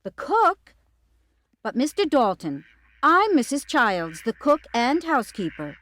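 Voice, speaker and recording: An actress playing a chatty, fussy country housekeeper and cook in an audio drama. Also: female voice, sits high, big pitch swings, a bit bright, heavily acted. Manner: chatty, then indignant and hurried. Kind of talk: radio drama acting.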